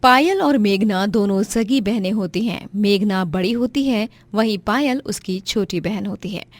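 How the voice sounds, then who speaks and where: A woman narrates a scripted story as storyteller. Her voice sits at a medium pitch, and the recording is very dry. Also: a little slow, no echo.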